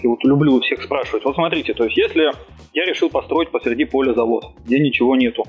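A man's voice: medium pitch, quick pace, husky, phone-quality sound, faint music behind. A man hosting a podcast, asking casual conversational questions built up in short fragments. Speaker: podcast host.

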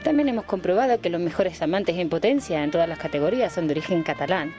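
A woman's voice: lowish voice, animated, fast, warm tone, faint music behind, close to an everyday voice. A woman playing a poised, matter-of-fact female lecturer in a comic radio sketch. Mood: poised, frank.

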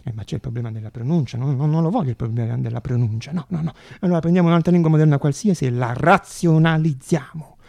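An idealistic young inventor acted by a man in a theatrical radio monologue, feverishly reasoning with himself. The voice is husky and fairly high for a man, with a dark edge. He keeps questioning and correcting himself in an agitated way. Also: quick pace, hardly any pauses, dry recording. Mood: excited, fretful.

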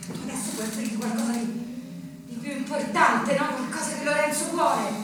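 A woman's voice: sits high, fairly quick, far from the mic, lightly acted. An actress playing an anxious, pleading sister who clings to her innocence, in a theatrical stage style. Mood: urgent pleading. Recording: very noisy, echoey theatre recording from afar.

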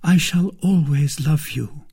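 A man's voice: a little high, takes it slowly, warm tone, slightly rough, voice pushed up, exaggerated voice. A man voicing a frightened, devoted young maid with an emotional, pleading manner in an audiobook story. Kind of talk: dramatised audiobook reading.

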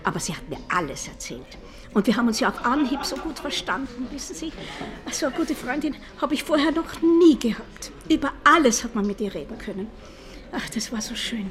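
An old Austrian grandmother in a radio drama. She chats in a confiding, plaintive way with a highly varied melody. Soft music sits faintly behind her. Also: big pitch swings, a little fast. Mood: wistful. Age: older. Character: chatty, sentimental old Viennese grandmother. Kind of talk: naturalistic radio drama acting.

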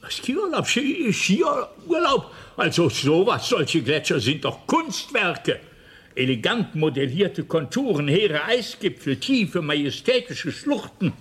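Old radio play designer, stammering, pompous yet deferential, very animated intonation, slightly high. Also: male voice, takes it slowly, heavily acted. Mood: indignant. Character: flustered, proud old planet designer, wounded artist.

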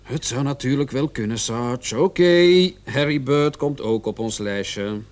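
An actor playing a keen, insistent junior police detective in a radio play, speaking pointedly and emphatically. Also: male voice, medium pitch, a little slow, lightly acted. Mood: questioning. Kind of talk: Dutch-language radio drama dialogue.

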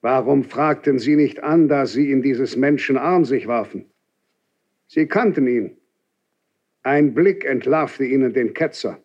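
An imperious old churchman, stern and severe, declaims at a very slow, measured pace. His voice is mid-pitched, in a classical verse radio drama with slightly muffled archival sound. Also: darkish tone. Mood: stern reproach. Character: stern, imperious, aged Cardinal Inquisitor.

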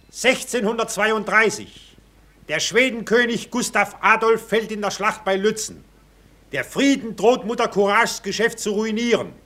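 A man's voice: high voice, bright, forceful tone, slight background noise. A man playing the announcer of a radio play, reading scene summaries in a formal, declarative way.

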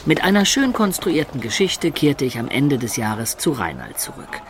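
A man's voice: mid-range voice, big pitch swings, normal speed, a bit bright, slight background noise. An actor playing a young male adventurer who recounts his tale in a matter-of-fact way in a radio play. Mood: narrating. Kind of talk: German radio play narration and dialogue.

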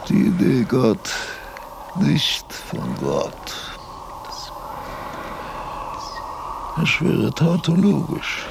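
Aged philosopher, radio drama, gravelly, very slow, halting dictation, long pauses, laborious. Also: male voice, a little high, noisy background, exaggerated voice. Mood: weary, brooding. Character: frail aged philosopher, laboriously dictating his abstract thoughts.

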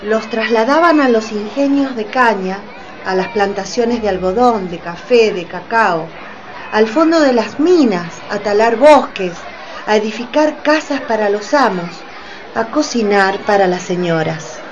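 A woman's voice: mid-range voice, lively intonation, a little slow, muffled recording. A woman reading scripted narration in a radio play. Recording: crowd hubbub behind the voice.

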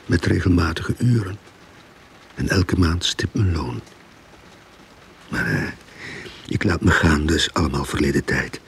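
Deep-voiced, weary young detective. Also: male voice, unhurried, pauses often, full character voice. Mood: weary, wry. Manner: wry, world-weary. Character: weary young male novice private detective narrating his case. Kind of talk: radio drama narration and dialogue. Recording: radio play with clear background noise.

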